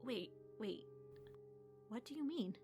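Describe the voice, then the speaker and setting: A shaken, defiant young woman in a hospital drama, with a fairly high voice. She both speaks and narrates in the first person, with clear music underneath. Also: lively intonation, slow. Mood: defiant, upset.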